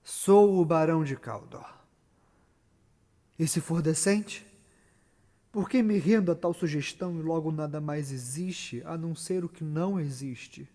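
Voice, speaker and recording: An ambitious nobleman torn by dark desires, played by a male actor with a Brazilian accent. His voice sits a little high and rings in a long reverb. He declaims in solemn, measured phrases, like classical stage tragedy. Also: lightly acted. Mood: brooding, conflicted. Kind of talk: theatrical Shakespearean monologue. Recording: reverberant room with long echo.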